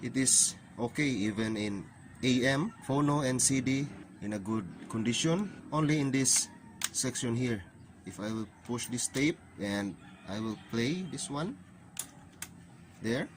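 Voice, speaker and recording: A man's voice: medium pitch, expressive, a little slow. Male repair channel host, mid-range voice, plain step-by-step instruction, non-native phrasing, noticeable background noise. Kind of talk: hands-on repair tutorial narration. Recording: clearly noisy recording with ambient hiss.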